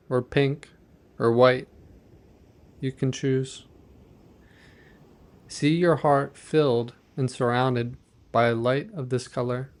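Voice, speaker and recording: Gentle man guiding a meditation. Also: medium pitch. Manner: gentle, measured, instructing softly. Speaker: meditation guide. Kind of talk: guided meditation narration.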